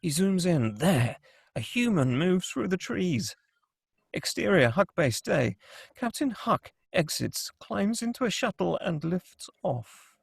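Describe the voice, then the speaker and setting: A man with a husky voice reading scripted screenplay action as the narrator of a table read. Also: high voice, big pitch swings, takes it slowly, dry recording, clean studio sound.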